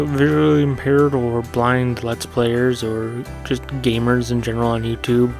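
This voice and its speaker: A man's voice: mid-range voice, creaky. A man hosting his own gaming channel, talking in a casual, meandering way and correcting himself as he goes, with a soothing voice. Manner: meandering, self-correcting with fillers. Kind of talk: casual reflective monologue.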